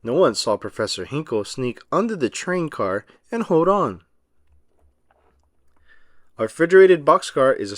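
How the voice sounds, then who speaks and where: A man narrating a children's storybook aloud as an expressive, emphatic storyteller. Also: a little high, no echo, studio quality.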